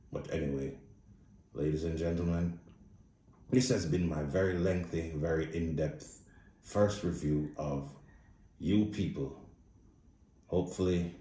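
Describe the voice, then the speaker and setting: A man's voice: a bass voice, dark tone, echoey room, far from the mic, a little hiss or hum. A man with a Jamaican accent giving a blunt, profane, casual movie review. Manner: blunt, profane, speaks straight with no filter. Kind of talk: casual opinionated review monologue.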